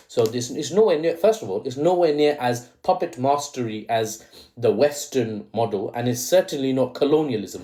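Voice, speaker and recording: A man's voice: medium pitch, mellow. A man with a mixed British accent giving chatty, opinionated political commentary with rhetorical asides and slang. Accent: British, with a mixed Indian-tinged lilt. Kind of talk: casual commentary video.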